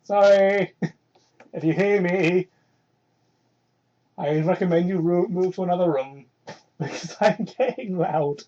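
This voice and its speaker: A woman's voice: slow, pauses often, dark tone, lo-fi sound, slight background noise. A woman giving a comedic dramatic reading of fan fiction with exasperated, sweary asides, recorded on a crude microphone. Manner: exasperated, sweary, heckles the text. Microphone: cheap home microphone. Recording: crude, low-quality microphone.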